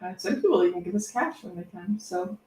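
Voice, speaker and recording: A woman's voice: fairly low pitch, expressive, fairly quick, darkish tone. Woman co-host in a roomy space. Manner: conversational, informal. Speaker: service co-host, minister. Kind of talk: casual church announcements. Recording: roomy, distant microphone.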